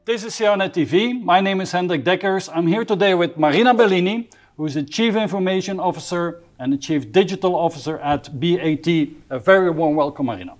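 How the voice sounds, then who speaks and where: A man's voice: high voice, brisk, few pauses. A man hosting a structured business interview, introducing and asking questions in a polite, inquiring manner. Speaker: interview host.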